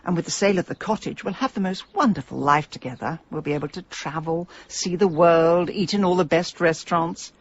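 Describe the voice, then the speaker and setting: A woman playing a headstrong, middle-aged English businesswoman in a radio comedy-drama, sardonic, brisk and stubborn. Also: fairly low pitch, no room sound, highs cut off. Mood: insistent. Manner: brisk, dry, exasperated.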